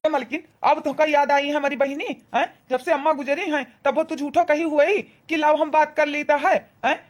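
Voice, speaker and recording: A woman doing a high-pitched coaxing husband. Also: fairly even intonation, normal speed, natural voice. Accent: Awadhi. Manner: deferential, coaxing, repetitive address. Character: devoted, coaxing husband who serves his wife. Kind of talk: Hindi cartoon family drama dialogue.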